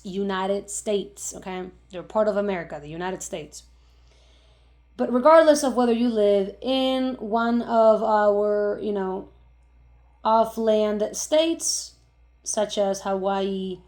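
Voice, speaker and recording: A woman's voice: mid-range voice, darkish tone. Emphatic Cuban-born woman, echoey room. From Cuba. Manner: emphatic, rhetorical questions, frequent "right?". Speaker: vlogger. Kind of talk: opinion monologue to camera.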